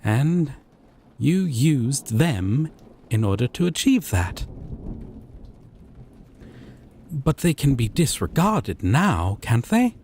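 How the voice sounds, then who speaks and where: A man playing a gentle, possessive yandere boyfriend from a dating sim, speaking tenderly and coaxingly with deliberate pauses in a scripted roleplay. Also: mid-range voice, sing-song, slight background noise. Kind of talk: scripted roleplay audio drama.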